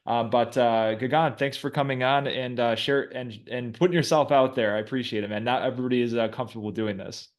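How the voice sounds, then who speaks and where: A man in his thirties hosts a laid-back coaching conversation. He talks in a relaxed way, dropping plenty of filler words as he goes. His voice sits at an ordinary middle pitch. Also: a little fast, few pauses. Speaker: host coaching a guest. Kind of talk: casual coaching chat.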